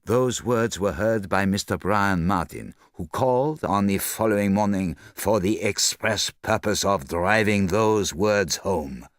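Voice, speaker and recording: A man voicing a fastidious older detective in an audiobook, formal and measured. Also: fairly low pitch, no echo, clean studio sound, lightly acted. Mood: explaining. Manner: formal, measured, quietly self-satisfied. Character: fastidious older male detective, soft-spoken and precise. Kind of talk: audiobook character voice.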